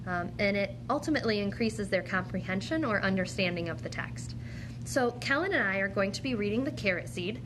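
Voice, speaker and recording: Woman teacher with clear background noise. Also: mid-range voice, expressive, fairly quick. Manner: encouraging, questioning, speaking to a child. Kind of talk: instructional read-aloud demonstration with questioning.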